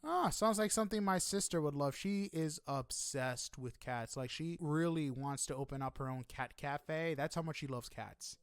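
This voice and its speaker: Male podcast interviewer, slightly high voice, informal and chatty with hesitant phrasing, dry close recording. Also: normal speed, few pauses, smooth, no room sound. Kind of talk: casual conversational interview.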